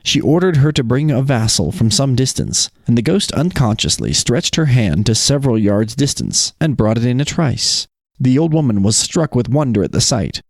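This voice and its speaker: A man co-hosts a storytelling podcast with a lively, varied pitch. His voice sits at a middle height in a very dry recording. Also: normal speed, dry recording. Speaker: podcast co-host. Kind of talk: casual chat and storybook reading of folk tales.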